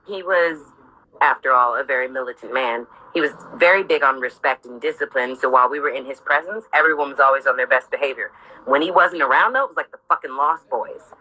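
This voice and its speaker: A woman with a husky voice reading her own memoir aloud informally, candid, sardonic and sweary, breaking off for asides. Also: extremely low pitch, a little fast, pushed and bright, poor audio.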